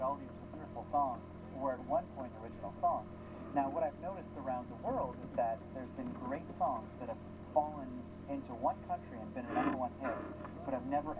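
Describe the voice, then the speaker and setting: A man's voice: medium pitch, heavy background noise. A Canadian man, a guest singer, chatting casually in an interview with loose fillers and restarts. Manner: relaxed, rambling with fillers and restarts. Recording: dull lo-fi TV broadcast recorded off air.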